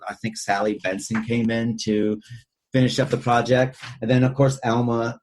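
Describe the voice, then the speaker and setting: A man with a husky voice talking casually and ramblingly as a podcast host discussing film. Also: medium pitch, level tone, moderate pace, darkish tone.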